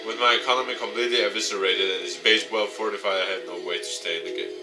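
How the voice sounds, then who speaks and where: A man's voice: on the low side, quick pace. Man narrating over game music. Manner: expressive, acts out dialogue. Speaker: let's player. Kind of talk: reading a visual novel aloud, dramatic narration.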